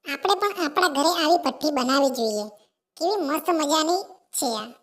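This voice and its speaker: A very high, childlike cartoon sparrow, acted by a woman. She is cheerful and eager, gushing in repeated exclamations as she chatters through an animated moral tale. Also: moderate pace. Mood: admiring. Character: cheerful, eager, chatty female sparrow visiting her sister. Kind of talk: Gujarati animated moral story dialogue.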